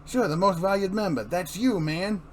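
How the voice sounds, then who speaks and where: A man's voice: high voice, normal speed, a little hiss or hum, pitched above the performer's own voice, close to an everyday voice. A man playing a casual, persuasive crook with a slangy, pushy way of talking in an amateur comic reading. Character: casual, persuasive male crook recruiting an accomplice.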